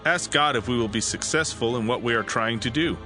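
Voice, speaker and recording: A man's voice: mid-range voice, fairly quick, music in the background, lightly acted. A man voicing a Danite scout who asks earnestly and pleadingly for guidance. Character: Danite scout asking for a blessing.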